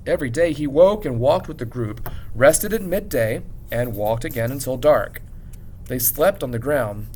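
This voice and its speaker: A man reading a story aloud as a teacher would, in an expressive, measured narration with dramatic pauses. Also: medium pitch, a little hiss or hum, low-quality recording.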